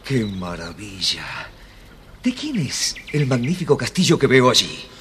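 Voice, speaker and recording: An actor playing a clever, self-assured young flute player in an audio fairy tale, with theatrical, commanding delivery. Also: male voice, medium pitch, very animated, unhurried, fairly bright tone, a little hiss or hum. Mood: awed, eager. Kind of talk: audio storybook acting.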